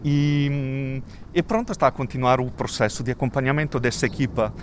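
A man's voice: mid-range voice, a little hiss or hum. A man with an Italian accent, an Italian native speaker, talking hesitantly with many restarts as a community worker interviewed outdoors. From Italy. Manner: hesitant, halting with restarts and fillers. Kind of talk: street interview. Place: outdoors in a residential neighbourhood.

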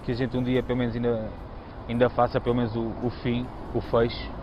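Man, even pitch, repetitive phrasing, fast bursts, dull sound, traffic noise. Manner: halting, repeats himself. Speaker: former band member. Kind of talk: casual interview. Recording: dull noisy outdoor clip, traffic.

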